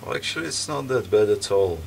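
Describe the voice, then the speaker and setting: Low gravelly man talking while tinkering. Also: animated, a little fast. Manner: hesitant, many ums and restarts while working. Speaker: repair video host. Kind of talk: casual hands-on repair commentary. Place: workbench, indoors. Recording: distant mic with background noise.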